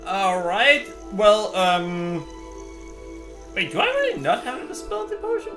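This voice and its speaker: A man giving casual game commentary as a streamer, thinking aloud in a halting way. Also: high voice, sing-song. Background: game music.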